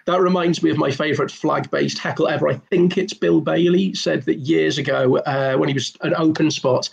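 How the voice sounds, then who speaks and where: A man hosting a casual comedy chat over a video call, wry and quick with quips, recorded at home. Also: on the high side, steady tone, creaky, husky, muffled recording. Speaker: host.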